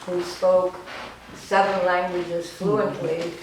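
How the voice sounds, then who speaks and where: An older woman, a German native speaker from Romania, giving an informal talk about her life, halting and rambling with lots of fillers and wry self-deprecating humor. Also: on the low side, little pitch movement. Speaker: artist giving a gallery talk. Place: art gallery room. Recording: echoey gallery, distant, noisy ambience.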